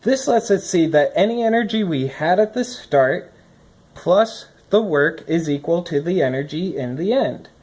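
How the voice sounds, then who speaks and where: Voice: mid-range voice, expressive, moderate pace, a little mellow. A person reading a scripted lesson aloud, explaining it with think-aloud asides, recorded indoors on a phone at a desk. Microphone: phone recording from above the desk.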